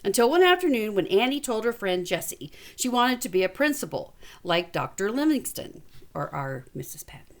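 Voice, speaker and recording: A woman's voice: medium pitch, sing-song, moderate pace. A woman, an elementary school teacher, reading a storybook aloud with folksy, informal asides and encouragement. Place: small space away from school, at a little desk.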